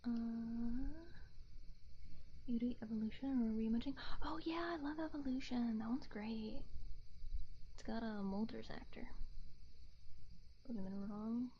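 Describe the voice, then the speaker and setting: A woman hosts an ASMR livestream in a soft, gentle, fairly high voice that often slips into whispers. She talks slowly, murmuring to herself and her viewers up close to a binaural microphone. The sound is slightly muffled, with faint background noise. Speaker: livestream host. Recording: muffled, lo-fi, noisy ambient hiss.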